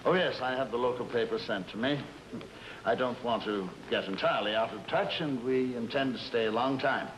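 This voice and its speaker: An actor playing a composed, polite older American judge in an old radio drama, speaking in a measured, placating way. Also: male voice, medium pitch, fairly bright tone, natural voice. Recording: noisy old radio recording.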